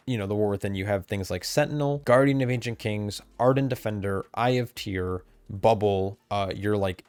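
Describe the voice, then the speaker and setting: A man with a fairly low voice that is going hoarse, talking in a loose, rambling way and often stopping to correct himself. It sounds dry and close, with no room echo, like a desk mic at home late at night. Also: a little mellow. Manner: casual, rambling, self-correcting. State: losing his voice. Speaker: game content creator. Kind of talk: recorded commentary on game changes. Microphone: desk mic, camera off.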